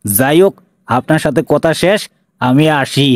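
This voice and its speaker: A man voicing a blunt, sarcastic, menacing police inspector in a cartoon, with a Bangladeshi accent and an officious, deliberate manner. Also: on the high side, expressive, no room sound, studio quality, close to an everyday voice. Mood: accusing. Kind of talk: cartoon dialogue.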